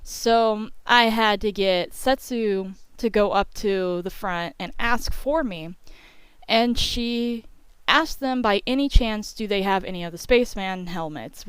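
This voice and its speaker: A young woman tells a rambling, informal story for an online video. Her voice is smooth and clean at a middle pitch, recorded close and dry. She talks like she is chatting with friends, dropping in "like" and "you know" as she goes. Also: a little slow, dry recording. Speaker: YouTube storyteller. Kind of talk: casual rambling story time voice-over.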